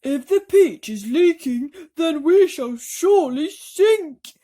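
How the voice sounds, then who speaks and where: A woman voicing a miserable, panicky blind male earthworm in a storybook, wailing loudly and melodramatically. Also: high voice, expressive, pitched above the performer's own voice. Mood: panicked despair. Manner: drawn-out, melodramatic shrieking.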